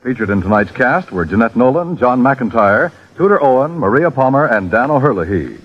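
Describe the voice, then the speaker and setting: A man's voice: medium pitch, very animated, brisk. A man announcing a radio program in the formal style of the 1950s. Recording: muffled old radio recording.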